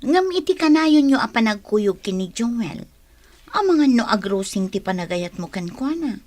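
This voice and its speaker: An actress playing an inquisitive, concerned young woman in a radio drama, expressive and probing. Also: on the high side, moderate pace, no room sound. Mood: curious, probing.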